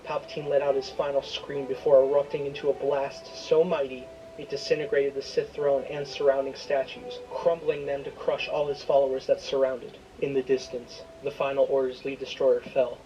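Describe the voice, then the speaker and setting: A man's voice: medium pitch, flat delivery, moderate pace, dark tone, muffled recording. A man reading a scripted, dramatic story as its narrator. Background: prominent music.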